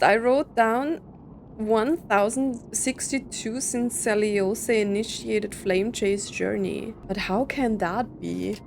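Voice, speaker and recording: Casting: female game streamer, unhurried, mid-pitched, casual and puzzled, thinking aloud over clear background music. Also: a little mellow. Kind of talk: casual reaction commentary over story game playthrough.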